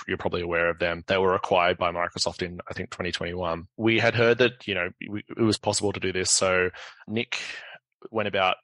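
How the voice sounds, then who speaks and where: Croaky low-voiced man. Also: bright, forceful tone, no echo. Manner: halting, many restarts and fillers. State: croaky from a cold. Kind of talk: casual explanatory discussion.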